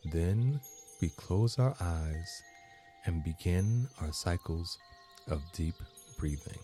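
Male meditation host, low register, unhurried and deliberate delivery with long pauses, music underneath. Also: lively intonation, warm tone. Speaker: guided meditation podcast host. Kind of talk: guided meditation narration.

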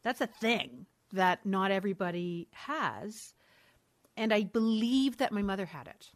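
A woman's voice: mid-range voice, lively intonation, unhurried. A middle-aged woman, a mother of three, talking candidly and thoughtfully as an interview guest, often restarting her sentences. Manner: candid, thoughtful, frequent self-corrections and laughs. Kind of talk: reflective conversational interview.

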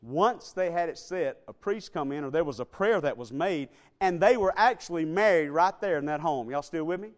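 A man preaching a sermon, swinging between loud build-ups and quiet, low appeals to the congregation. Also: expressive, moderate pace, few pauses.

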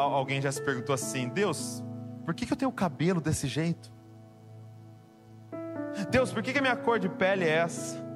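A man preaching a sermon to a congregation, emphatic and rising to passionate exhortation. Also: mid-range voice. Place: church with keyboard behind.

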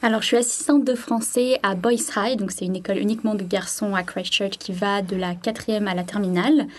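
A young woman from the south of France talks as a guest in a radio interview. Her voice sits at an average pitch, and she explains herself in a chatty, conversational way. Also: fairly even intonation, brisk. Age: young adult. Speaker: interview guest, French language assistant.

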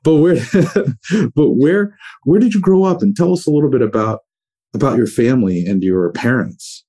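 A man hosting an interview, asking open questions in a relaxed way. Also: mid-range voice, moderate pace, husky. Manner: asks open questions with many fillers. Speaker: host. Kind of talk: casual interview.

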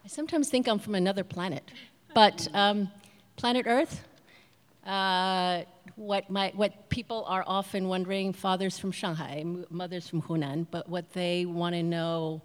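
Low-voiced earnest woman on a panel. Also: steady tone, a little slow. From United States. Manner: reflective, earnest. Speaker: film producer. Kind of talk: panel storytelling. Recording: reverberant hall.

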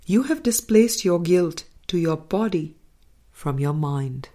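A woman reads a spiritual book aloud in an unhurried, deliberate way, stopping to comment between passages. Her voice sits low, and her phrases often fade to a soft, quiet close. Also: animated. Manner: slow, deliberate, soft-spoken with quiet trailing endings. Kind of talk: measured audiobook-style reading with commentary.

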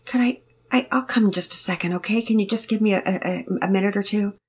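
A woman's voice: medium pitch, fast, a little mellow, slightly rough, voice pushed up, lightly acted. A woman voicing a timid, people-pleasing young girl who is exhausted, stammering and out of breath. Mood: flustered. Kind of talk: storybook reading.

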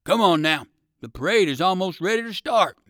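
Man as old circus dog soldier, storybook character, polite but urging, slightly high pitch, animated, dry close sound. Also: a little fast, no room sound. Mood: earnest, friendly.

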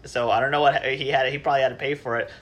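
A man's voice: mid-range voice, steady tone, rapid-fire. A man guesting on a podcast, chatting in a jokey way and acting out bits of imagined dialogue. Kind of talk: casual comedic chat.

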